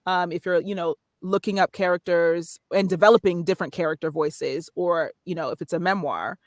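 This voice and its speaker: A woman's voice: lowish voice, fairly quick, dry recording, clean studio sound. A woman speaking casually as an interview guest, explaining things in a conversational way. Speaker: podcast guest, voice-over artist and narrator.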